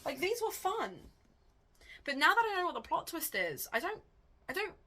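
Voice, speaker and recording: A woman book vlogger chatting casually and thinking aloud, with a wry, animated, self-questioning way of talking. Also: very high-pitched, normal speed.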